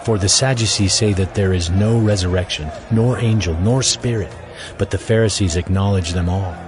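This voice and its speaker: A man's voice: sits low, moderate pace, over music. A man narrating scripture as a scripted audio Bible reading.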